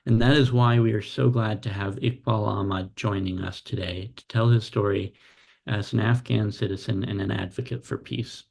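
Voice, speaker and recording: A man's voice: lowish voice, fairly even intonation, normal speed, vocal fry, darkish tone, breathy edge. A man hosting a webinar over a video call and reading a formal scripted introduction. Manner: formal, read-out welcome. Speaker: webinar host.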